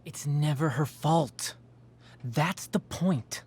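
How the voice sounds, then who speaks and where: An actor voicing a jealous, worried teenage boy in a video game, pleading and accusing in an emphatic, drawn-out way. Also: fairly high pitch, a little mellow, husky. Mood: bitter, accusing.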